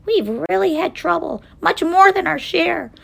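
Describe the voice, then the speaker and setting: A tiny storybook mayor, voiced by a woman, frightened and polite. He pleads in a very high, small voice that rises and falls a lot. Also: animated, a little slow, vocal fry, a bit bright, heavily acted. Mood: shaken, pleading.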